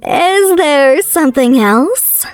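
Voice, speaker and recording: A woman's voice: high voice, expressive, slow pace, soft background music. A woman playing a young female boss in a manga dub, a tipsy, pouty ex-girlfriend with a whiny, bossy manner. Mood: tipsy, coy. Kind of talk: manga dub character acting.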